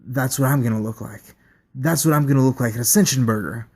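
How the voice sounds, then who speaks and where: A man voicing an unsettled male test subject who talks slowly and quietly in a dreamy, rambling way. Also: medium pitch, husky.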